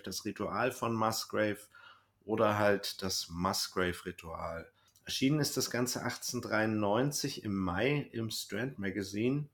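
A man co-hosting a podcast, chatting casually as he reviews audio dramas. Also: lowish voice. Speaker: podcast co-host; bus driver.

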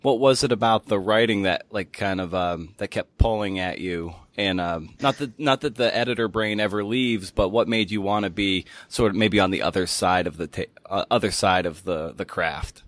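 Low-pitched man hosting a podcast. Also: normal speed, few pauses, fairly bright tone, no echo. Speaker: podcast host and interviewer. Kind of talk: scripted intro narration and casual interview.

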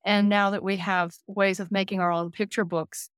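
A woman in her late sixties, a care manager and counselor, speaking gently and thoughtfully in an interview, often pausing to find her words. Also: mid-range voice, flat delivery, smooth, dry recording, studio quality. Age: 60s. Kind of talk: reflective interview conversation.

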